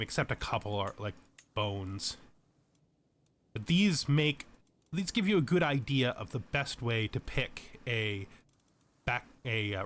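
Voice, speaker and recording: Man narrating a game tutorial, mid-pitched. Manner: methodical, thorough explainer. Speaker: game tutorial host, player. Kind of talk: explanatory let's play commentary.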